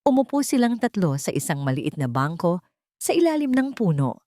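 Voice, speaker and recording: A woman reading a dramatic love story as a narrator, in emotive, measured phrases. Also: on the low side, sing-song, warm tone, no room sound, clean studio sound.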